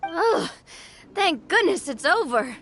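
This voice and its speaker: A woman voicing a spirited, playful young lady in an anime game, expressive and enthusiastic. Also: very high-pitched, slow pace, a little hiss or hum, full character voice. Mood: amused.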